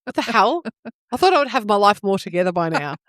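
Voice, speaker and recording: An Australian woman in her forties chats casually as a podcast co-host. Her pitch moves a lot as she acts out her reactions, and the recording is dry with hardly any room. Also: big pitch swings, fast talker, a bit bright, no room sound. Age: 40s.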